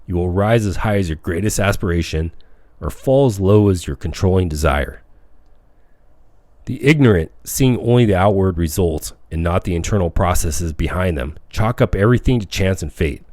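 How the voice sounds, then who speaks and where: A man reading a self-help audiobook as scripted narration, with measured, declamatory phrasing. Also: deep voice, a little mellow, no echo. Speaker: audiobook reader.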